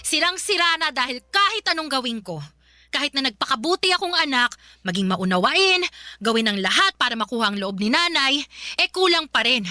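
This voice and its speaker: A woman's voice: high-pitched, lively intonation, brisk, sharp tone, no echo, exaggerated voice. A woman playing an exhausted, resentful young daughter in a Tagalog radio drama, speaking emphatically with a Filipino accent. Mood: bitter, fed up. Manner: emphatic, pleading, emotional outbursts.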